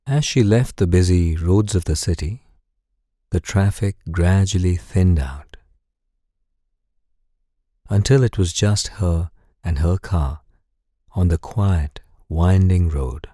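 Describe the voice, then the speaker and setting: Deep, slow, husky man telling sleep stories. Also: no echo, studio quality. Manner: gentle, calm, unhurried. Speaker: guided sleep meditation narrator. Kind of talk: soothing scripted bedtime story narration. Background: music.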